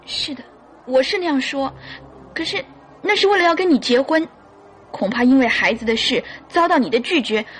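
A devoted mother in a radio drama. Her voice sits a little high, with faint music behind it, and she speaks in an earnest, deliberate, pleading way that stays resolute. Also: expressive. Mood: confessing, sorrowful. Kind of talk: classic Mandarin radio drama acting.

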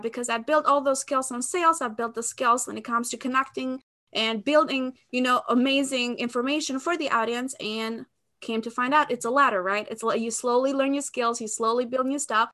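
High, bright Albanian-accented young woman. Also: brisk. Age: around 30. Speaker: podcast guest, sales expert. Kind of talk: conversational interview answers.

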